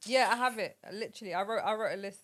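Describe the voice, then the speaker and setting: A young woman runs a relaxed podcast conversation. She reads items off a list, chats, and laughs easily with her guests. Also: mid-range voice, quick pace. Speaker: podcast host. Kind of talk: casual chat.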